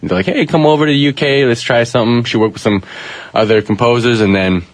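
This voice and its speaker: A man's voice: mid-range voice, fast, no echo. A man in his twenties, a guest on a radio show, easygoing and informative, laughing often. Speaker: music segment guest. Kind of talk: casual radio chat.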